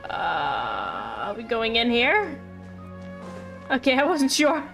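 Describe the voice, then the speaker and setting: Female game streamer: slightly high, unhurried, informal asides to viewers, faint music under the voice. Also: wildly swooping pitch, gravelly, fairly bright tone, low-quality recording. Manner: casual, unhurried, addresses viewers directly. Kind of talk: casual livestream chat over gameplay.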